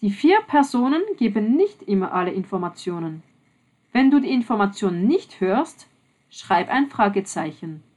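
A woman reading textbook listening-exercise instructions in a slow, instructive manner. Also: medium pitch, very animated, darkish tone. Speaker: exercise narrator. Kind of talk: scripted instructions.

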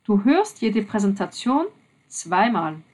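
A woman reading textbook listening-exercise instructions in a slow, instructive manner. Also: mid-range voice, big pitch swings, darkish tone. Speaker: exercise narrator. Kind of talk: scripted instructions.

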